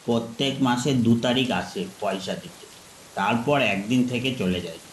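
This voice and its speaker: A gentle older village man, played by a male storyteller speaks in a mid-pitched, hospitable and coaxing way. The sound is echoey, with faint rain noise behind it. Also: moderate pace, natural voice. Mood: resigned, gentle. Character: kindly insistent older village man living alone.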